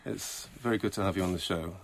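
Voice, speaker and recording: A man's voice: sits low, quick pace, low-quality recording. A man hosting a late-night radio show in a studio, chatting with a guest and summarizing her answers in German. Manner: chatty, leading questions with trailing tags. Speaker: radio host. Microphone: studio microphone.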